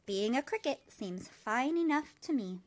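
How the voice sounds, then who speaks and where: Woman playing a frank, unhurried glowworm. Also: high-pitched, lively intonation, voice pushed up. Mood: frank, reassuring. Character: plain-spoken, kindly adult glowworm passing by.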